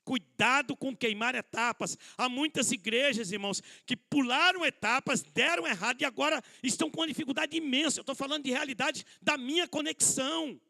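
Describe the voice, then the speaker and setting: High, gravelly, bright-voiced man preaching. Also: fairly quick, hardly any pauses. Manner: addresses listeners as brothers, rhetorical questions, self-deprecating humor. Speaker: pastor. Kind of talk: conversational sermon at a church conference. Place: church hall.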